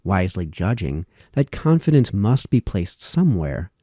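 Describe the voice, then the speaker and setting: A man reading formal historical essays aloud, with measured, emphatic phrasing that falls away at sentence ends. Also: deep voice, sing-song, mellow, no echo, clean studio sound.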